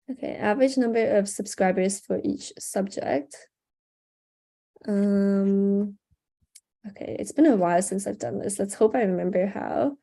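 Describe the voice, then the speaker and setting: A young woman runs a live video-call tutorial through a headset, talking in a loose, casual way full of ums and likes. Her voice sits on the mellow, darker side, and a sore throat keeps her clearing it. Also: medium pitch. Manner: casual, chatty, frequent "um" and "like". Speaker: workshop instructor. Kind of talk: live online workshop screen-share tutorial.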